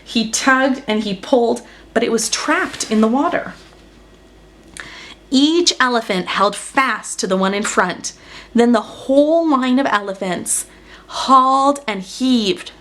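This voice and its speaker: A woman's voice: fairly high pitch, takes it slowly, a bit bright, a little hiss or hum. A woman reading a children's picture book aloud as a storyteller, with dramatic emphasis on action words. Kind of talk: expressive children's story narration.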